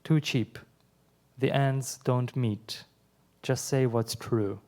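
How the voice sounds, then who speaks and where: A young adult man from Iran, whose first language is Persian, reads his poems aloud in a measured way, with quiet asides between them. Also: medium pitch, fairly even intonation. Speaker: poet. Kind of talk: live poetry reading.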